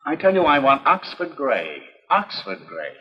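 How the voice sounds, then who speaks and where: An actor playing a timid, mild-mannered husband who hesitates and stammers, in a 1950s educational film. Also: male voice, fairly high pitch, huge pitch swings, moderate pace. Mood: stubborn. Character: meek, timid middle-aged husband, easily ignored. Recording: dull reverberant old film soundtrack.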